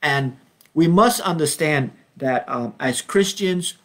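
A man in his fifties from Belize leading an informal Bible study over a webcam at home, speaking earnestly and unhurriedly with frequent ums, slowing down to read verses. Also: medium pitch. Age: 50s. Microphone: webcam, video call framing.

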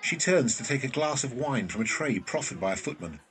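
A man's voice: mid-range voice, animated, fairly quick, a bit bright, soft background music. A man reading scripted stage directions as the narrator of a drama.

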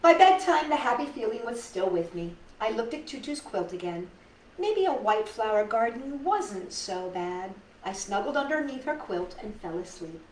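A middle-aged woman reading a picture book aloud to children, with dramatic pauses and chatty asides to her listeners. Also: on the high side. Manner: animated, dramatic pauses, addresses young listeners. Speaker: storytime host reading picture books to children. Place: indoors in a living room. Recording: roomy, distant mic with background noise.

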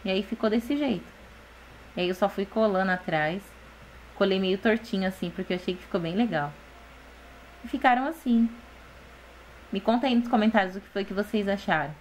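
Uma mulher brasileira na faixa dos vinte anos, com sotaque brasileiro, narrando em off um tutorial de artesanato com paciência e jeito de quem explica. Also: female voice, mid-range voice, brisk, pauses often, slight background noise, rough recording. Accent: Brazilian Portuguese. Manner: patient, explaining, often adds "gente" and "tá?". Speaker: DIY channel host. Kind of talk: step-by-step craft voice-over.

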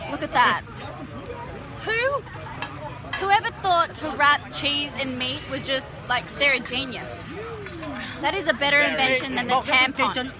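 A woman's voice: high-pitched, unhurried, long pauses. A young woman in her twenties chatting bubbly and casually into a phone held at arm's length, laughing often while out at night. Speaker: vlogger. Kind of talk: casual travel vlog. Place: busy outdoor restaurants and streets at night. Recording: loud crowd around the phone mic. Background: crowd chatter.

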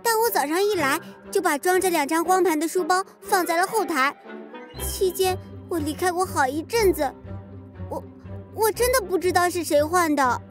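A woman's voice: extremely high pitch, normal speed, soft background music. A woman voicing an upset schoolchild in a children's audio drama, defending herself after being accused of betraying her friends. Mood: hurt. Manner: wounded, defensive, stammering.

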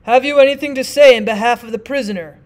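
A woman's voice: on the high side, quick pace, a little mellow, natural voice. A woman voicing a stern, impatient male frontier judge who questions curtly.

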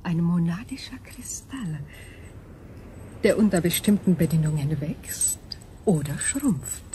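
An actress playing an ancient alien crystal being in a radio play, calm and coolly logical, speaking in measured, formal phrases. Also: female voice, on the low side, slight background noise. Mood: detached, explanatory. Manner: measured, formal, precise diction. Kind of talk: German radio drama acting.

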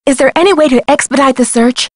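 A woman's voice: high-pitched, fast, no room sound, studio quality, natural voice. An actress playing a plucky, determined young female amateur detective in a video game, polite and self-assured. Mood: polite, businesslike.

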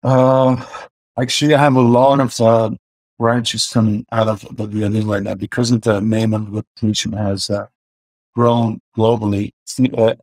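Man with mixed iranian-swedish accent, husky, flat low voice, hesitant video call guest. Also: normal speed, no room sound, poor audio. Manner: hesitant, many fillers and restarts. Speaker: car filmmaker guest. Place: home room indoors. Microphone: video call webcam.

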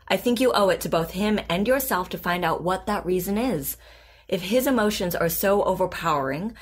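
An actress playing a calm, patient therapist in an audio drama, composed and deliberate in her delivery. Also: fairly low pitch, natural voice. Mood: calm, persuasive. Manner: composed, deliberate, clinical, reassuring. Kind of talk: naturalistic audio drama acting.

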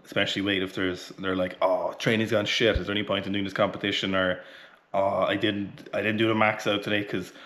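Irish male coach, 30s, slightly low pitch, casual, swears freely, sweaty between lifts. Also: flat delivery, brisk, fairly bright tone. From Ireland. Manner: blunt, chatty, swears casually. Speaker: weightlifting coach. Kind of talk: casual training vlog talk. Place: small indoor home gym, warm. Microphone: camera close in front.

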